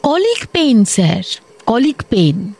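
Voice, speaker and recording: A woman's voice: a little high, sing-song, slow, dark tone, breathy edge, heavily acted. An actress playing a talkative, self-pitying woman government officer in a comic radio drama, gushing with complaints and repeating herself.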